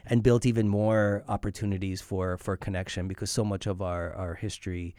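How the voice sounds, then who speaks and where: A man in his forties with a smooth, lowish voice, recorded dry and close. He is a radio interview guest telling personal stories in a reflective, conversational way, pausing to pick his words. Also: level tone, darkish tone, no room sound. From Iran and upstate New York. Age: 40s. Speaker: interview guest, hip hop artist.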